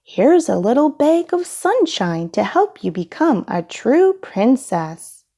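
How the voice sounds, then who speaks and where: A woman's voice: sits high, very animated, slow pace, mellow, pitched above the performer's own voice, exaggerated voice. A woman voicing friendly giant singing rocks in a children's storybook, with a sing-song, emphatic delivery. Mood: kindly.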